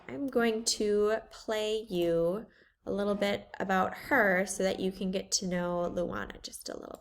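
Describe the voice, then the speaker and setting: A woman art teacher walks her class through a screen-share lesson at an unhurried pace. She talks casually, thinking aloud and filling pauses with ums. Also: medium pitch. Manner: relaxed, thinking aloud with many fillers.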